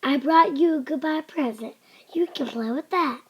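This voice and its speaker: A woman's voice: high-pitched, moderate pace, pitched above the performer's own voice, close to an everyday voice. A woman voicing a bold, cheerful young girl in a children's storybook, confident and playful. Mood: bright, confident.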